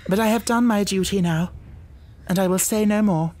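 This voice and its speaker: A man's voice: sits high, moderate pace, darkish tone, pitched above the performer's own voice, lightly acted. A man playing secretive Victorian young lady, lifted voice, urgent, earnest, formal, storybook character. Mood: guarded, anxious. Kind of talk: audiobook character dialogue.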